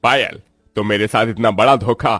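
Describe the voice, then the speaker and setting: Deep-voiced earnest young bridegroom played by a man. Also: steady tone, moderate pace, fairly bright tone, no room sound, soft background music. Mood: shocked. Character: kind, busy, wealthy young boss and bridegroom. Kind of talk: Hindi moral-story cartoon acting.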